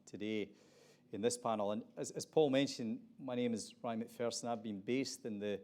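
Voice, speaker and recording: A man's voice: medium pitch, steady tone, moderate pace, darkish tone. A middle-aged man from Aberdeen with a Scottish accent, introducing a conference panel in a conversational way with frequent restarts. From Scotland. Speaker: panel moderator.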